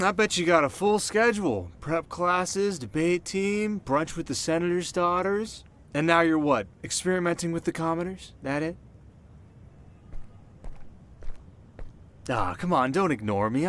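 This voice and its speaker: Man playing a cocky, soft-hearted delinquent boy. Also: on the high side, lightly acted. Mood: cocky teasing. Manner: slangy, casual, stumbling when flustered. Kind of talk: ASMR roleplay acting, addressing the listener.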